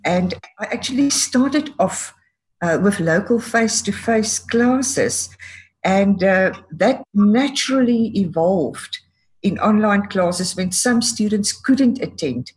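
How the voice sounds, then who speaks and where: A woman from South Africa who teaches Afrikaans, introducing herself in a steady, measured way on a panel. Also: mid-range voice, breathy edge.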